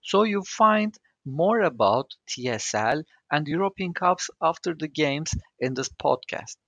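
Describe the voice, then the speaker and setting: A man's voice: a little high, animated, measured pace, fairly bright tone, husky, no room sound, highs cut off. A young man with a Turkish accent hosting a solo podcast, speaking English carefully as a non-native speaker, with some fillers. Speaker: podcast host.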